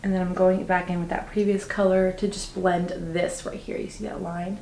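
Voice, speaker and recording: Chatty woman, slightly raspy morning voice. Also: lowish voice, flat delivery, normal speed, echoey room, background noise. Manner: chatty, upbeat, lots of "like" fillers. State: slightly raspy, just woke up. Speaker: beauty vlogger. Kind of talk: casual makeup tutorial talk.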